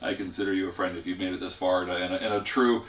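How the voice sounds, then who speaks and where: A man hosting a gaming channel, explaining a card game deck in a rambling, relaxed way with a congested voice that is giving out. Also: low voice, quick pace, reverberant, far from the mic.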